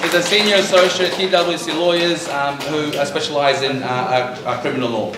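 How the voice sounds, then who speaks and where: A man's voice: fairly high pitch, steady tone, a little fast. Hesitant man hosting a forum in a hall. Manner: hesitant, many ums and uhs. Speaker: forum moderator. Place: community forum venue. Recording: very echoey hall, mic at a distance.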